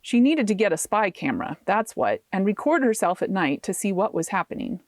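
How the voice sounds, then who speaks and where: A woman author reading her own fiction aloud as an expressive audiobook narrator. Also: mid-range voice, a little fast, no room sound, studio quality.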